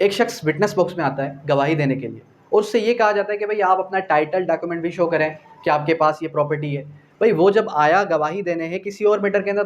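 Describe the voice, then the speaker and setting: A man's voice: on the high side, a little hiss or hum. A man with a Pakistani accent giving a law lecture, asking questions and answering them himself, repeating key phrases and mixing in English terms. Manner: mixes English legal terms into speech. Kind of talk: classroom lecture explaining definitions and articles.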